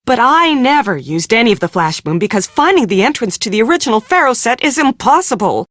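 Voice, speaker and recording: An actress playing a brisk, sharp-tongued, exasperated woman on a film crew in an adventure game, speaking with sarcastic emphasis. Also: a little high, sing-song, dry recording, studio quality. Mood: defensive. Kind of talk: scripted game character dialogue.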